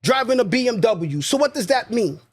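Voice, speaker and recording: Emphatic high-voiced man preaching self-reliance. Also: expressive, a little fast. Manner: emphatic, preachy, repeats phrases for stress. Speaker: podcast co-host. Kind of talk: casual podcast conversation.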